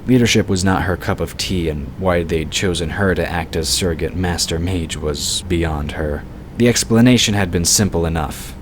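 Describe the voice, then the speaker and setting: A man narrating a fantasy story from a script, as the narrator of an audio drama. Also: low-pitched. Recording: clear background noise and ambience.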